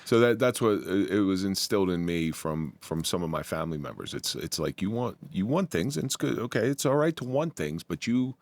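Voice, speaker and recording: A man's voice: low-pitched, expressive, brisk, hardly any pauses. A man hosting a podcast, chatty and opinionated, making jokey asides as he asks questions. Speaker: podcast host. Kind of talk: casual interview.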